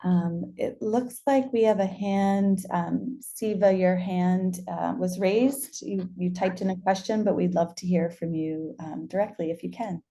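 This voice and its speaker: A woman's voice: on the low side, steady tone, a little slow, hardly any pauses, dark tone. A woman with a friendly, encouraging manner, hosting and moderating an online question-and-answer session. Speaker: webinar host and moderator. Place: online video call.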